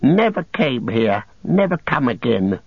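A wretched, starving creature, whining and hissing in thin, strained tones well above the reader's own voice. Every word is stretched out and pleading. The sound is dry and a little dull. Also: male voice, medium pitch, sing-song, breathy edge, dry recording, full character voice. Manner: drawn-out, pleading, talks of himself as "we". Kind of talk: audiobook character voice.

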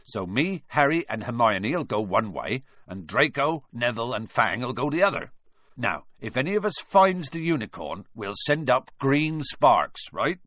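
Man doing a gruff kindly giant gamekeeper, rustic talk, growly, dry sound. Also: mid-range voice, sing-song, measured pace, fairly bright tone, no room sound. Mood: earnest.

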